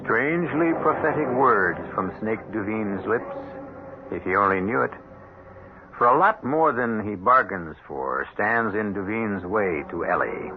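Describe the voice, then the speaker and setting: Low, creaky radio-drama narrator, man. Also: expressive, a little hiss or hum. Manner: deliberate, ominous, literary storytelling. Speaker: host and narrator. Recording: muffled old radio broadcast. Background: music.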